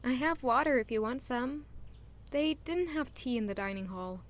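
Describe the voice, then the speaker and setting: A woman in an audio drama playing a caring young maid, speaking gently and earnestly to reassure. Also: high-pitched, expressive, normal speed.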